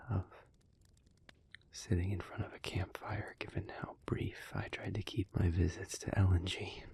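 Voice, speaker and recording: A man plays a weary, devoted demon in an intimate audio roleplay. His low voice stays close to a whisper, with a gravelly creak. He speaks solemnly and haltingly, as if confiding in one listener. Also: a little mellow. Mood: wistful. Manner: hesitant, halting, measured intimate speech.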